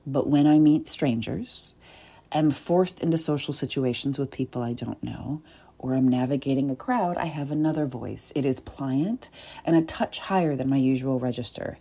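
Woman, low and mellow voice, soft and deliberate, reflective coach delivering a spoken essay. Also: breathy edge. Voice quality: deep and soft. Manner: soft, deliberate, with pauses and whispered asides. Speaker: body-work coach.

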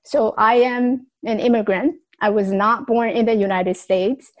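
A woman's voice: fairly high pitch, a little slow. Woman from Taiwan telling her story. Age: adult. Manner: earnest, reflective, with small grammar slips. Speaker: speaker sharing her life story. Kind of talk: personal storytelling talk.

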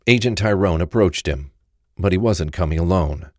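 A man's voice: low voice, normal speed, dry recording, studio quality. A man reading scripted narration for a crime thriller story.

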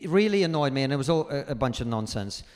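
A comedian guest speaking in a live stage conversation, forthright and emphatic and swearing freely. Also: male voice, medium pitch, animated, fast talker, big-room sound. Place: live venue stage before an audience.

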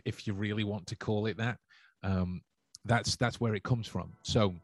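A man's voice: low voice, a little mellow, no echo. A man hosting a solo livestream podcast, talking through his thoughts and answering chat with many restarts and fillers. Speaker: podcast host.

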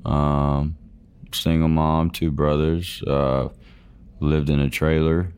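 A young man speaking in a very deep, low baritone. He keeps his answers quiet, brief and understated, like a country singer talking through an interview. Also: flat delivery, slow, smooth. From Georgia, US.

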